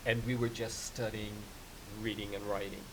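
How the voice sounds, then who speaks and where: A podcast guest, a man whose first language is Persian, explains things at a measured pace with frequent ums. His voice sits a little low. A clear hiss runs under the recording. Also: level tone. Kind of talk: conversational interview.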